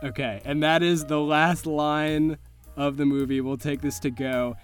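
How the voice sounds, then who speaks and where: A man acting as game master, improvising vivid, deliberate descriptions of scenes for his players. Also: a little high, fairly even intonation. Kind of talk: improvised tabletop game narration. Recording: music bed under the voice. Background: music.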